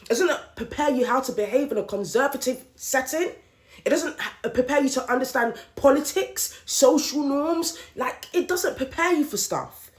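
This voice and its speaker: A woman's voice: high-pitched, normal speed. A woman, hosting a podcast and venting her thoughts in a candid solo monologue, with emphatic repetition. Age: late 30s. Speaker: podcast host. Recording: roomy echoey room.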